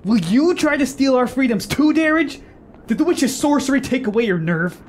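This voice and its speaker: An actor playing a flippant, defiant pleasure-seeker in a fantasy radio drama, mocking and theatrical in delivery. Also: male voice, very high voice, expressive, normal speed. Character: flippant, pleasure-seeking, defiant male traveler.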